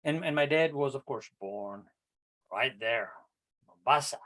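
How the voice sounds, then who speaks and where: An older man from Kenya giving a prepared speech at an online public speaking club, telling his story with rhetorical questions and addressing the audience as ladies and gentlemen. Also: medium pitch, measured pace. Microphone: video call.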